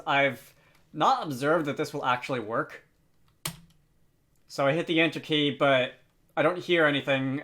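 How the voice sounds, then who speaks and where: A man narrating an unscripted software tutorial, thinking aloud with frequent hesitant pauses. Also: a little high. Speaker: tutorial host.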